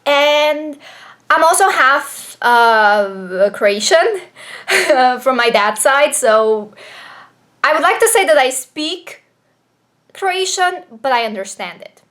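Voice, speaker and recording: A woman's voice: high voice, expressive, slow, fairly bright tone. A young woman from Slovenia with a Slovenian accent, chatting to camera as a booktuber in a rambling, filler-heavy way. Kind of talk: casual chat to camera. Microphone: camera propped on desk.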